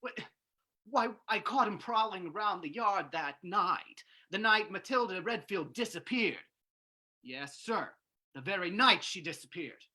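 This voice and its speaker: A woman playing a brisk, nosy male property manager in an old-time radio drama reading. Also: mid-range voice, expressive, sharp tone. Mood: excited, accusing.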